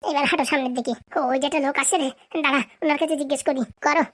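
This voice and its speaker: A performer voicing a cocky young troublemaker in a comedy cartoon, boastful and quick to squabble. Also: sits high, steady tone, fairly bright tone. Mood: boastful, bickering.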